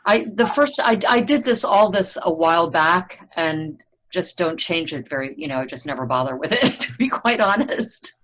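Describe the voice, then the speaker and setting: A woman on a video call, relaxed and a bit self-deprecating as she sorts out her settings. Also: low voice, normal speed, few pauses, poor audio. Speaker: meeting attendee. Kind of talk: casual chat on a video call.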